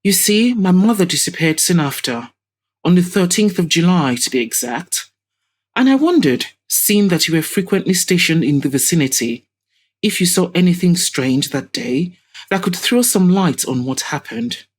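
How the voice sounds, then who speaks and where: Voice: medium pitch, animated, moderate pace, voice pushed up, close to an everyday voice. Woman playing determined, probing young woman.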